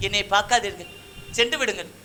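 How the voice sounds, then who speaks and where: High, plaintive puppet wife voiced by a man. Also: fast, pushed and bright, slight background noise. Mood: hurt, reproachful. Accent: Tamil Nadu. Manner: plaintive, declamatory. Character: wronged, devout young wife and mother. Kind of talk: folk shadow puppet drama, formal stage diction.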